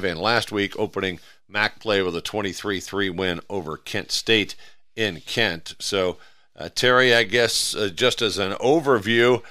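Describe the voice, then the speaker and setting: A man hosts a sports radio show in a low, crisp voice. His delivery is conversational and forward, with a bright edge. The sound is close and dry. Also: no echo. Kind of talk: conversational sports interview and commentary.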